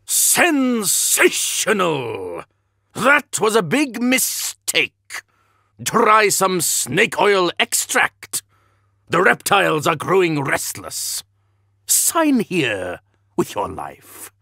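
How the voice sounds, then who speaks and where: A shady snake-oil salesman makes a slick, sinister pitch in a gravelly voice. He drags his words out slowly, swinging widely in pitch. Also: huge pitch swings, bright, forceful tone, no room sound, studio quality, heavily acted. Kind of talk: game character voice lines.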